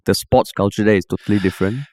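A man with a Singaporean accent hosting a podcast, chatting in a relaxed, loose way. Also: lowish voice, fast, no echo, studio quality. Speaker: podcast host. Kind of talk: casual chat. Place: podcast studio.

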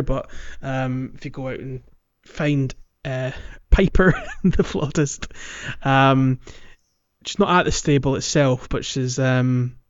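A man co-hosting a podcast, chatting casually and explaining a video game playthrough in a halting way. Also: mid-range voice, clean tone. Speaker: podcast co-host. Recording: dull lo-fi audio, music underneath. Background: background music.

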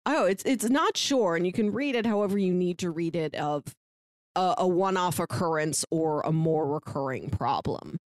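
A woman co-hosting a podcast, casually discussing a book in a reflective, analytical way, pausing to find words. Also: on the low side, expressive, no room sound, studio quality. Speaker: podcast co-host.

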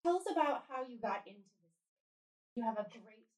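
A woman's voice: a little high, lively intonation, normal speed. A woman co-hosting a podcast, reading an intro and welcoming a guest in an upbeat, enthusiastic way. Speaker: podcast co-host. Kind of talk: scripted intro and interview hosting.

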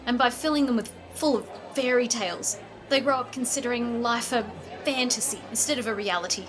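A woman's voice: a little high, brisk, fairly bright tone, lightly acted, poor audio. A woman playing a strict, practical mother and store executive in a radio play, speaking in a firm, proper way. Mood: stern, insistent. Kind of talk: old-time radio play acting.

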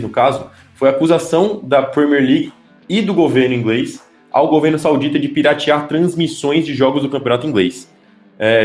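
Man, mid-pitch, halting podcast talker, roomy echo, faint music underneath. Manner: hesitant, frequent filler sounds and restarts. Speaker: podcast co-host. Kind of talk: casual chat.

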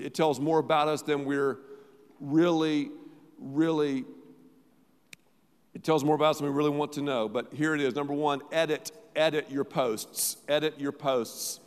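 A middle-aged pastor preaching a sermon, emphatic, repeating phrases, swinging between loud and quiet. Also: male voice, fairly high pitch. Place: large church auditorium. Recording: long hall reverb.

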